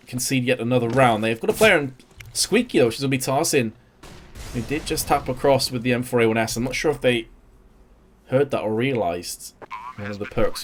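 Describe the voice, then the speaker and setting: A man's voice: mid-range voice, a little hiss or hum. A young man casting a live esports match, his energy rising and falling with the action. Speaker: esports caster. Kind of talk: live play-by-play commentary.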